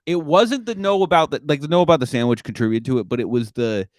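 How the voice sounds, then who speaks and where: A man's voice: a little high, quick pace, no room sound, studio quality. A young man hosting a comedy podcast, rambling casually with lots of filler words and self-deprecating asides. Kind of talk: casual chat.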